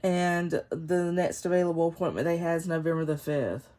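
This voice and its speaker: A woman's voice: lowish voice, normal speed, warm tone. A woman in her fifties with a cold, sounding nasal and congested, chatting casually in a vlog from home. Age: 50s. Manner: rambling and chatty, with frequent laughs. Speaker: planner vlogger. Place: home, seated in a chair. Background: faint neighbors' construction noise.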